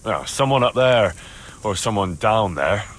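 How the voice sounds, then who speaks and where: A man's voice: fairly low pitch, expressive, a little slow, slight background noise. An actor playing an eager, loyal young fighting man in a video game cutscene, with an earnest, questioning manner. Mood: wry, relieved.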